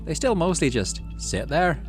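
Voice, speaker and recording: Man putting on a cheerful, folksy farmer dad, raised pitch. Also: very animated, normal speed, soft background music. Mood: enthusiastic. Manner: folksy, chummy, explanatory. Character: cheerful folksy farmer dad showing his child around. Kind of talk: visual novel character read aloud.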